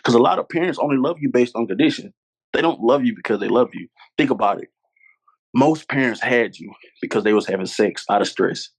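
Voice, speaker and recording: A man's voice: mid-range voice, animated, a little fast, breathy edge, rough recording. A man, a podcast guest talking candidly and earnestly, repeating his points for emphasis. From Louisiana, US. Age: 20s. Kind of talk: candid conversational storytelling.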